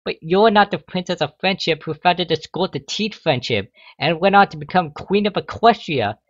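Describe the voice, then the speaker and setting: Young female unicorn student, played by a male reader: high, anxious, pleading, exasperated, for a fan story reading. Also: animated, normal speed, a bit bright, no room sound, lo-fi sound. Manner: pleading, flustered, protesting.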